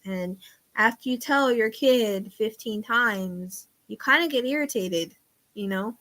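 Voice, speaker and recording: A middle-aged woman with a loud voice, chatting casually with online viewers as a psychic show host, rambling and confiding and trailing off quietly at the ends of thoughts. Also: a little high, a little slow. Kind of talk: casual chat.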